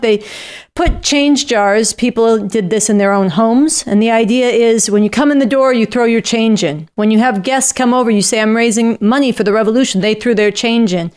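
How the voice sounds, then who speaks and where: A woman's voice: on the high side, darkish tone, smooth. A woman co-hosting a show, making an explanatory appeal directly to viewers. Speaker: show co-host.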